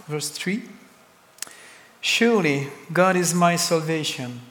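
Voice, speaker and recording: Slow French-accented preacher in a hall. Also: male voice, a little high. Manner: slow, deliberate preaching with emphatic pauses. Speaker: pastor. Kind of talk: sermon.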